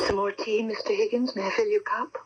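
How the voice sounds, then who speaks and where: A woman's voice: mid-range voice, steady tone, fairly quick, breathy edge, faint music behind. An actress playing an anxious, plain-spoken wife unsettled by her husband's moods, acting and narrating in a 1970s radio drama. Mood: polite, wistful. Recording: dull lo-fi 1979 radio broadcast.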